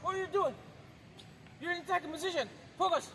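Actor as a high-voiced, insistent male sports trainer. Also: quick pace. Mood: urgent, challenging. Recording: very noisy location sound.

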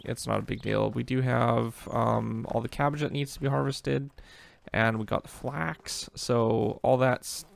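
A man hosting a casual let's play, talking in a relaxed, rambling way with lots of fillers while losing his voice. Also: mid-range voice, unhurried, vocal fry. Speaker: gaming channel host.

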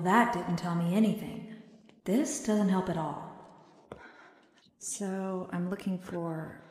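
An earnest young heroine of a dark cartoon video game. She talks slowly and expressively, with determination, and her voice rings with long hall reverb. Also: lowish voice, a little hiss or hum. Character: young woman trapped in an inky cartoon world, earnest, determined.

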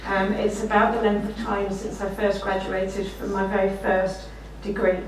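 A woman's voice: medium pitch, completely flat delivery, moderate pace, distant mic, slight background noise. Middle-aged woman lecturing in an echoey room. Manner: warm anecdotal, self-deprecating, often laughing while speaking. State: slightly croaky voice. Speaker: keynote speaker. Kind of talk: conference keynote lecture. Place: lecture room, standing behind a desk. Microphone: room mic, far from speaker.

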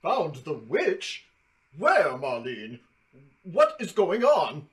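A man's voice: a little high, huge pitch swings, very slow, full character voice. A man voicing a kindly, flustered older physician in a cartoon, fussy, courtly and hesitant. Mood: bewildered. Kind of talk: animated drama dialogue. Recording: music under the dialogue.

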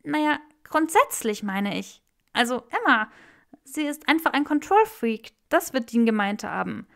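A woman voicing a chatty, excitable young male rebel in a German audiobook reading of fan fiction. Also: high-pitched, measured pace, creaky, voice pushed up, full character voice. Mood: eager gossiping. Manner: excitable, blurting.

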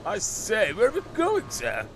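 A man voicing a pompous, monocled English gentleman who keeps saying "sir" and "I say". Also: high voice, huge pitch swings, a little slow, creaky, noisy background, heavily acted. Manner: pompous, says "I say" and "sir" constantly. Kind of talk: roleplay in a game.

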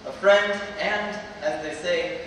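A man's voice: high voice, slow, far from the mic, background noise. A young man in his late teens giving an earnest graduation speech with wry humor from a lectern in a large auditorium. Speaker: student graduation speaker. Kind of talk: commencement address. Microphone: stand mic, filmed from far off. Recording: echoey hall recorded from afar.

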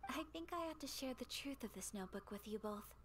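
A woman playing a refined, gentle young noblewoman in a game, speaking formally and softly, with measured, polite phrasing and some breathiness. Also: high-pitched, expressive, slight background noise. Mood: solemn. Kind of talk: English game dub acting. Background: game music.

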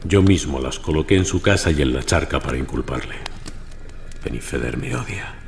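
A man acting a guilty murderer in an audio drama, bitter and frightened, with halting, dramatic delivery and a gravelly voice. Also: rumbling low, expressive, slight background noise, heavily acted. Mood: bitter, confessing.

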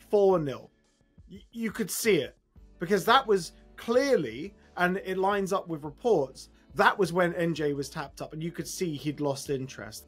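A man in his thirties giving a blunt, emphatic, exasperated fan reaction to camera after a football match, with a husky voice. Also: high-pitched, a bit bright. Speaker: football fan channel host. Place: small room at home, indoors.

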